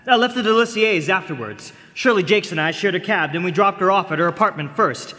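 A man's voice: high voice, expressive, a little fast, sharp tone. An actor playing an agitated, fidgety young man in trouble, nervous and earnest, in a live radio drama. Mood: anxious. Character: agitated, fidgety, disheveled young fiancé client. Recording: live in echoey concert hall.

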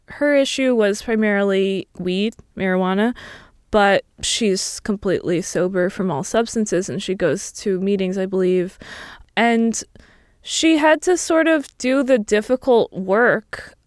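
A woman's voice: mid-range voice, clean tone, no room sound, clean studio sound. A woman talking casually and thoughtfully, giving commentary with plenty of "you know" and "like". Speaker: podcast producer and guest commentator.

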